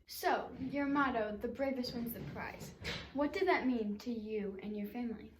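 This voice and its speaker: Echoey, higher-voiced woman interviewer. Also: normal speed, far from the mic. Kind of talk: staged interview questions. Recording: echoey room, clear background noise.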